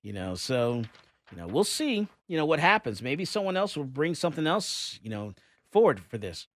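A radio host, ranting and laughing in animated talk-show chat. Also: mid-range voice, moderate pace, no room sound, studio quality. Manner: excitable, ranting, laughs often.

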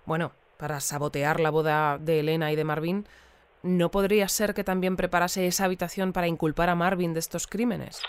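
An actress playing an inquisitive, politely persistent news interviewer in a naturalistic audio drama, phrasing questions with care. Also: female voice, fairly low pitch, fairly even intonation, fairly quick, clear, resonant tone, close to an everyday voice.

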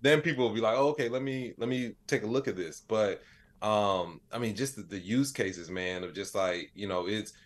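Very deep-voiced American man, chatting casually. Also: normal speed. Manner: animated storyteller, acts out quoted dialogue, peppers talk with "like" and "you know". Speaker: interview guest, AI educator and comedian. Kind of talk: casual podcast interview chat.

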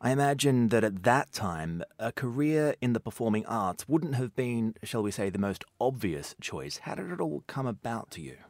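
A man's voice: mid-range voice, very animated, moderate pace, hardly any pauses, a little mellow, dry recording, studio quality. A male presenter reading a polished scripted introduction and then asking interview questions.

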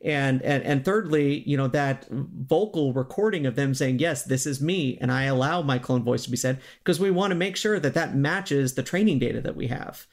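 A man's voice: mid-range voice, normal speed, hardly any pauses. A man speaking as an interview guest, explaining things in an earnest, conversational way. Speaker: podcast guest, synthetic voice company executive.

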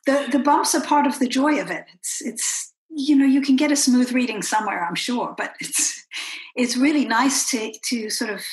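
A woman's voice: fairly high pitch, moderate pace, low-quality recording. A woman with an American accent interviewing an author, reflecting aloud with many restarts. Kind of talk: conversational interview.

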